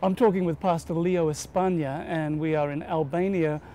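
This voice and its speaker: Man in his 50s, interviewer; mellow voice, friendly affirmations, lapel mic outdoors. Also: a little high, steady tone, moderate pace. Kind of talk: interview questions. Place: outdoor rooftop terrace over a harbor.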